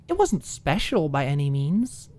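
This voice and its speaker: A woman reading a scripted fiction audiobook in an expressive, ASMR storytelling style. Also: low-pitched, a little slow. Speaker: audiobook narrator.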